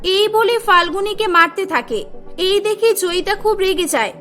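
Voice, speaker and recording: A woman's voice: extremely high pitch, animated, moderate pace, sharp tone, soft background music. A woman narrating a scripted cartoon story as its storyteller.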